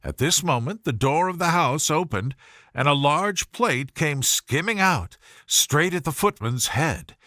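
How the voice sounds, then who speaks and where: A man reading a scripted children's bedtime story aloud in a measured, expressive storytelling manner. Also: a little high, no room sound, clean studio sound. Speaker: audiobook reader.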